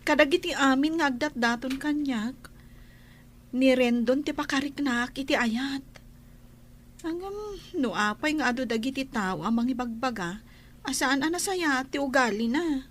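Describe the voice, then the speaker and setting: A woman playing a troubled, gentle young woman in a radio drama, hesitant, with an Ilocano accent. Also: high-pitched, a little hiss or hum, natural voice. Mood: confiding. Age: young adult. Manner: hesitant, halting.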